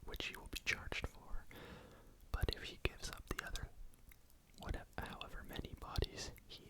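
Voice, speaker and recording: A man telling a true crime story in a soft unvoiced ASMR whisper, casual, with restarts and fillers. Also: normal speed.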